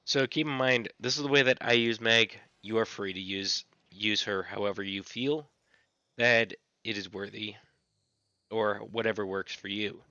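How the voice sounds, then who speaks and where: A man with a scratchy, fairly low voice walks through his game build in a relaxed tutorial. His tone is bright and forceful. The recording sounds dull and lo-fi. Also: moderate pace, no room sound. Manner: relaxed, repetitive explaining. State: recovering from illness. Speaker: gaming channel host. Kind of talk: casual gameplay tutorial.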